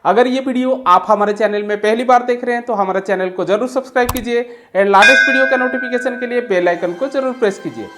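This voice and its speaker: A woman's voice: a little high, quick pace, hardly any pauses, very noisy. Indian woman narrating a product review. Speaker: tech review channel host. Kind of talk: casual product unboxing narration.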